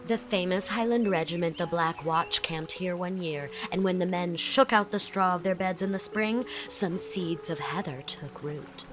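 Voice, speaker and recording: A woman's voice: lowish voice, moderate pace, hardly any pauses, over music, lightly acted. A woman playing a chatty, effusive young society woman in an audiobook drama, leaning on words for dramatic emphasis. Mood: informative.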